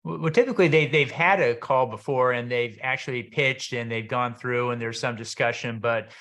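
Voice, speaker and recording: A man's voice: mid-range voice, normal speed, a bit bright. A mature man with a mid-range voice hosts an interview. He speaks conversationally, putting questions and offering his own coaching points. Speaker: interview host.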